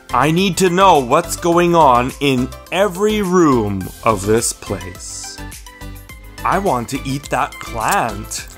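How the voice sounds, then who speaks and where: Guy doing a grumpy drama queen cat for kids book, really slow, pitch all over the place. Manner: deadpan grumbling with melodramatic outbursts. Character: grumpy, dramatic, easily spooked house cat. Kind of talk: children's storybook read-aloud.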